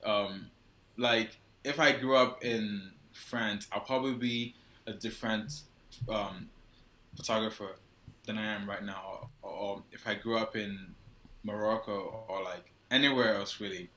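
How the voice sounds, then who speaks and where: A young Nigerian man talks as a guest on a video call, his fairly low voice bright and forceful. He rambles casually with many restarts and fillers. The sound is roomy, with echo from a small indoor room. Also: steady tone, measured pace. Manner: loose and hesitant, heavy use of "like" and restarts. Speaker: guest photographer. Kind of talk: casual interview answers. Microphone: wired earphone mic on a video call.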